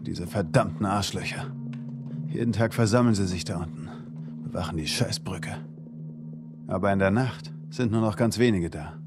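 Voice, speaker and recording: A man's voice: low voice, moderate pace, music in the background, lightly acted. An actor playing a young male survivor in a video game, a protective and confident older brother who speaks informally and assertively. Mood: confident, conspiratorial. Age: young adult. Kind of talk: dramatic video game acting.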